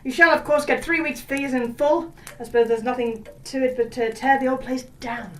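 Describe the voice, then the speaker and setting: Woman acting high-voiced, melodramatic posh lady storyteller. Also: steady tone, moderate pace, distant mic, slight background noise, natural voice. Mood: apologetic, brisk. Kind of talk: theatrical audio drama acting.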